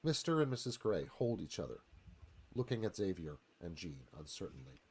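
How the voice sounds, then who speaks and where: A man's voice: low-pitched, sing-song, normal speed, darkish tone, husky. A man giving a dramatic scripted narration, reading stage directions as narrator.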